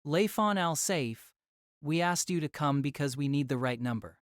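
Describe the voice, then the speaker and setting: A woman voicing a composed student who explains things in a measured, polite manner. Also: low-pitched, animated, no echo, studio quality. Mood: calm, measured.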